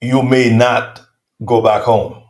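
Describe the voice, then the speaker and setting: A man's voice: mid-range voice, little pitch movement, slow, a little mellow. A man from Haiti hosting an interview, asking long, probing questions and often circling back. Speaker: host. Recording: roomy echo.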